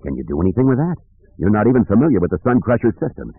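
A man's voice: on the low side, very animated, racing, husky, dry recording, narrow, tinny audio. Man playing an urgent, pleading starship pilot. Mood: conspiratorial. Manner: pleading, emphatic. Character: rough, urgent, loyal male starship pilot.

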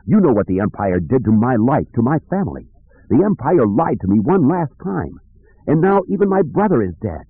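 A man voicing a bitter, defiant young pilot with a croaking, defensive delivery in an audiobook. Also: mid-range voice, sing-song, no echo, phone-quality sound, voice pushed up. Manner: halting, defensive. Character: bitter, defiant young male pilot turned against friends.

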